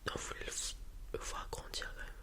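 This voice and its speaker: A person whispering softly and breathily in a hesitant, improvised ASMR roleplay as a helpful dragon breeder explaining to a customer. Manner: hesitant, improvised explaining with many pauses and repetitions.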